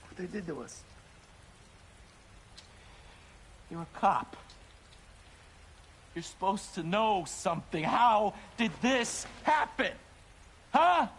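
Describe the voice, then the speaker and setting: Desperate father, wildly swinging pitch. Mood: grieving, accusing. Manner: raw, emotional, threatening. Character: desperate, protective middle-aged father, grieving. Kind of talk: dramatic video game acting. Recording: clear rainy ambient noise.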